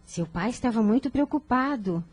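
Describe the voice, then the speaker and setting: A woman's voice: a little high, expressive, moderate pace, a little mellow, husky. A woman playing a worried, caring mother of a teenage boy in a radio drama, speaking in an anxious, motherly way. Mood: concerned, tender.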